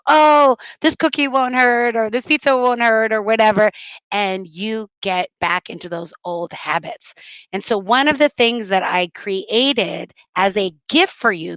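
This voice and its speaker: A middle-aged woman hosting a livestream podcast, upbeat and motivational, her voice a little hoarse and raspy. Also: medium pitch, a bit bright, dry recording, low-quality recording. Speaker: podcast host.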